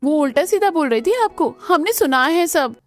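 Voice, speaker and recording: A woman's voice: sits high, expressive, normal speed. A woman voicing a protective, spirited young sister-in-law with bold, emphatic delivery in a cartoon family melodrama. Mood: urging.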